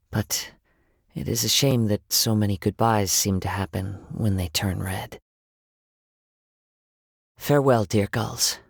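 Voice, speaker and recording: A man voicing a gentle, contemplative young wandering samurai for a video game, speaking in measured, poetic, formal phrases. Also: fairly low pitch, gravelly, breathy edge, no room sound, clean studio sound, heavily acted. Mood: wistful. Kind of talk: scripted video game character voice lines.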